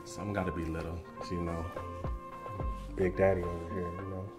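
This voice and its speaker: An actor playing a teasing, sarcastic young boyfriend in a naturalistic comedy skit, with an American accent. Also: male voice, deep voice, level tone, moderate pace, close to an everyday voice. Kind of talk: comedy skit acting, naturalistic couple banter. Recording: echoey room, faint music.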